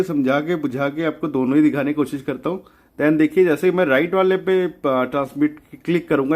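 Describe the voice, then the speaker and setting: Chatty man, higher-pitched voice. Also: darkish tone. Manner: chatty, colloquial, mixes English terms into speech. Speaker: DIY electronics channel host. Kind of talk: informal tutorial demonstration.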